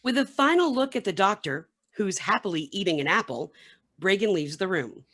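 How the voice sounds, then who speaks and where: Animated woman narrator. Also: medium pitch, moderate pace. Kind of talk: scripted narration, reading stage directions.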